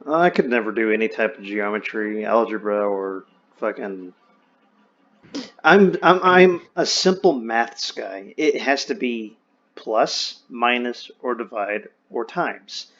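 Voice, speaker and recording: A man chatting and telling stories casually, with animated reactions, swearing and restarts, over online voice chat. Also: fairly low pitch, takes it slowly. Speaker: host. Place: online voice chat in a VR social game. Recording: dull lo-fi voice-chat audio.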